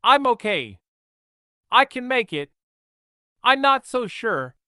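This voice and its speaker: A man's voice: extremely high pitch, big pitch swings, slow, bright, forceful tone, clean tone, no echo, clean studio sound, voice pushed up, full character voice. A man doing a tough, wounded teenage girl. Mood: strained, wry. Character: tough teenage girl, hurt but determined.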